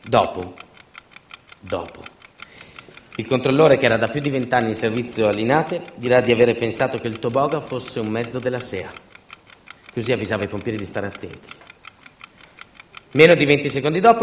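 A man's voice: mid-range voice, a little fast, pauses often. A man narrating a theatrical documentary monologue in an urgent, clipped, emphatic way. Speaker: stage narrator and storyteller. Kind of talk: theatrical documentary monologue, acting out quoted dialogue. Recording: roomy, distant mic, faint noise.

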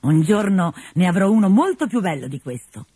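A woman's voice: lowish voice, moderate pace, slightly rough, no echo, pitched above the performer's own voice. A woman voicing a cheerful, carefree young male servant in a storybook reading. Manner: upbeat, lively. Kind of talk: storybook character voice.

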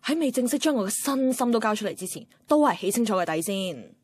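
An actress playing a chatty, self-doubting young single woman, confiding in expressive Hong Kong Cantonese in a radio drama. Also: fairly high pitch, quick pace, husky, dry recording. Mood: wistful, confiding. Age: young adult. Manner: confiding, expressive inner monologue. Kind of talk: radio drama narration and dialogue.

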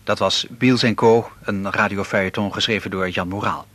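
A man's voice: lowish voice, steady tone, normal speed, a bit bright, dry recording, soft background music. A man working as a radio announcer, reading scripted broadcast credits.

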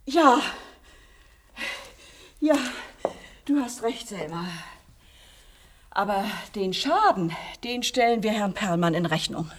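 A woman's voice: mid-range voice, expressive, slow pace, pauses often, a little hiss or hum. An actress playing a middle-aged, fearful, nagging mother and landlady in a radio play, alarmed and insistent, often repeating herself. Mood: indignant, nervous. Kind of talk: German radio play acting.

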